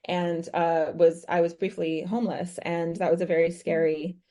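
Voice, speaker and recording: An American woman in her thirties, a podcast guest answering questions in a thoughtful way with many pauses and restarts. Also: on the low side, flat delivery, mellow. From United States. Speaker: guest author. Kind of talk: podcast interview, conversational.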